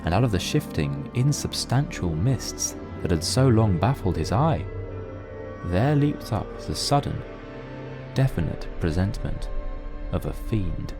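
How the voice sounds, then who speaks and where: A man narrating a literary mystery as scripted audio drama, in a measured, solemn storytelling manner. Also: deep voice, big pitch swings, music in the background.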